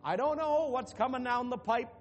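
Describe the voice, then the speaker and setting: A man preaching a sermon as pastor, dropping to quiet asides and rising to loud, emphatic calls to the congregation. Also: extremely high pitch, animated, moderate pace. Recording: long reverb with faint music behind.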